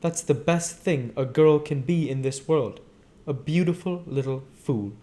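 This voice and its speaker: A man's voice: on the high side, measured pace, dark tone, voice pushed up, natural voice. A man voicing a jaded young society wife from a novel, with a breezy, dramatic, affected manner.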